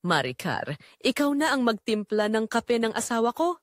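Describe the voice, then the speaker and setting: Woman acting suspicious mother, carefully controlled, expressive. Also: a little high, moderate pace, no room sound, studio quality. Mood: testing, controlled. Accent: Filipino. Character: suspicious middle-aged mother hiding hurt behind forced calm.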